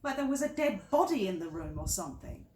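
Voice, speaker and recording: A woman's voice: mid-range voice, sing-song, a little fast, mellow, roomy sound, slight background noise, natural voice. A woman performing a stage monologue as an exasperated wife in her thirties, confiding in a friend with dramatic, emphatic repetition. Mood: exasperated, indignant. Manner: dramatic, emphatic, repeats phrases for effect.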